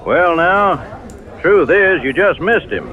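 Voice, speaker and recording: An actor playing a teasing, boastful frontier saloon regular in a radio drama, talking in a folksy manner. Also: male voice, high-pitched, expressive, slow pace, narrow, tinny audio.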